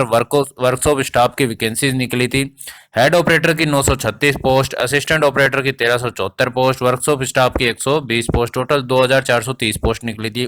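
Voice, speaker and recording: A man's voice: mid-range voice, a bit bright. A man explaining exam updates informally as a coaching teacher, addressing students directly and repeating points for emphasis.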